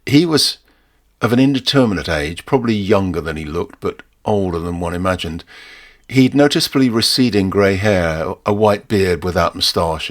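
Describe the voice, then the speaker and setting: A British man reading a first-person short story aloud in a measured, expressive way with dry, wry humour. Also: deep voice.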